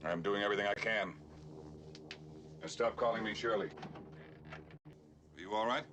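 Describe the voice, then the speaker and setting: A man plays a solemn older doctor in a film comedy. His voice sits slightly low and stays deadpan, over clearly audible background noise. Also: moderate pace, lightly acted. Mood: grave.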